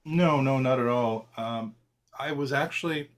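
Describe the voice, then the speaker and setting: A man in his forties, born in Argentina, answering interview questions in a relaxed, self-deprecating way with many fillers and restarts. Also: medium pitch, unhurried. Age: 40s. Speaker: trader, interview guest.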